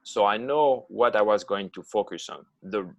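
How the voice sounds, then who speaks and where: A man in his fifties with a foreign accent, answering interview questions over a video call in halting English with many pauses. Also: fairly low pitch, vocal fry, dry recording. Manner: halting, non-native phrasing with many uh pauses. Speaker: guest, long-distance ocean swimmer.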